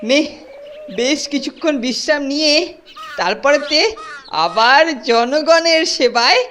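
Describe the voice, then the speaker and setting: A woman's voice: high-pitched, unhurried, a bit bright, slight background noise. A woman voicing a bossy, cowardly older boy who leads a gang in a cartoon, blustering and ordering the others about. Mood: bossy, pompous. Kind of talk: cartoon voice acting.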